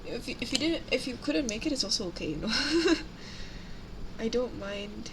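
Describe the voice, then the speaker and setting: A woman's voice: fairly high pitch, animated, measured pace, noisy background. A young woman from Indonesia, chatting casually and switching between two languages on a livestream while she paints miniatures. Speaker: streamer.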